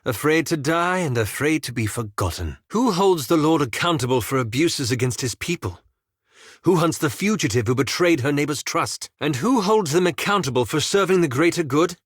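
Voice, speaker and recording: An actor playing a cautious, scholarly elf wizard in a video game, speaking in precise, formal phrases with dry politeness. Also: male voice, on the high side, animated, normal speed, husky, no room sound. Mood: dry, reproachful. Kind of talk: video game character dialogue.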